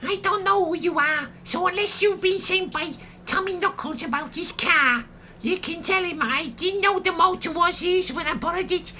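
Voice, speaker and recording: A very high-pitched, cheeky woman. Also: normal speed, sharp tone, slight background noise. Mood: blunt. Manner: teasing, blunt. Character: cheeky, down-to-earth, blunt Liverpool woman. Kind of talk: audio comedy radio play acting.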